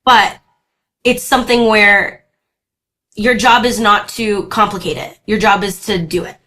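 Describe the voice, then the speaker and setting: A young woman from Brazil, a native Portuguese speaker, chatting as an actor guest in an interview, lively, laughing often and restarting her sentences. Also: medium pitch, measured pace. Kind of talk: casual interview chat.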